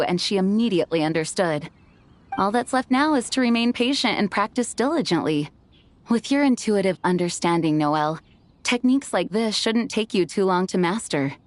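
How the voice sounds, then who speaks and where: An actress playing a patient, composed knight master in a video game, speaking in a measured, encouraging, instructive way. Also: female voice, mid-range voice, lightly acted.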